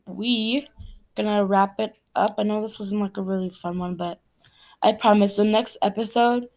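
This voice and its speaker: A teenage girl from Cameroon hosting her own podcast, talking in a chatty, rambling, earnest way. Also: medium pitch. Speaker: podcast host. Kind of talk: casual solo podcast chat.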